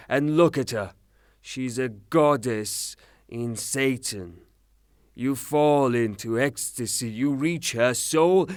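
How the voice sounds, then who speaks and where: A man's voice: medium pitch, clear, resonant tone, no room sound, studio quality, natural voice. A man acting in a theatrical audio drama as a furious, rude creditor given to blustering, sarcastic tirades. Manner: blustering, declamatory, sarcastic, long rhetorical tirades. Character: furious, rude creditor, a ranting misogynist gentleman.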